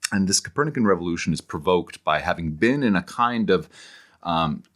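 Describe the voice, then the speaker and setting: A man speaking as an interview guest, explaining ideas conversationally like an animated storyteller who acts out paraphrased quotes. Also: low-pitched, brisk. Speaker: interview guest, author and scholar.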